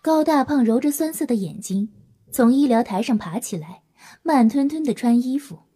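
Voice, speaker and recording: A woman's voice: high voice, lively intonation, moderate pace, dark tone, husky. A woman reading scripted story narration for an audio drama.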